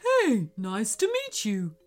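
A woman's voice: a little high, wildly swooping pitch, takes it slowly, soft background music, full character voice. A woman voicing a large, friendly male wolf in a children's storybook, sheepish, easily worried and chattily apologetic.

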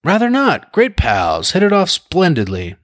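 A man reading aloud, voicing a flustered, chatty young gentleman who babbles in a hearty, nervous way. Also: high-pitched, wildly swooping pitch, a little slow, no room sound, studio quality, pitched above the performer's own voice, exaggerated voice.

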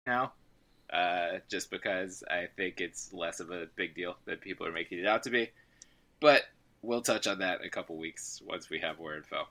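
A man's voice: deep voice, moderate pace, fairly bright tone. A man hosting a basketball podcast, joking and laughing, getting over a cold and a little froggy. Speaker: podcast host. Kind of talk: casual sports podcast chat.

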